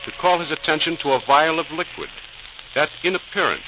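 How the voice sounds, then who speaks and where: A man's voice: fairly high pitch, fairly quick. Dramatic male radio narrator, crackly recording. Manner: dramatic, storytelling. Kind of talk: 1940s radio scripted narration.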